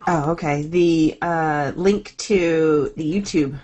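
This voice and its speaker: A woman's voice: deep voice, slow pace, mellow. A woman co-hosting a casual podcast, chatting easily and checking her mic levels. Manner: giggly, casual. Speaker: podcast co-host.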